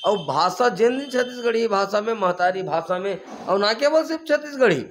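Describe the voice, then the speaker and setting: A man's voice: sits high, lively intonation, a little fast, a little hiss or hum. A man with a Chhattisgarhi accent giving fervent answers in an interview, building his points through repeated rhetorical chains and rising emphasis. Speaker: activist leader being interviewed. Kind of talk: impassioned political interview answers.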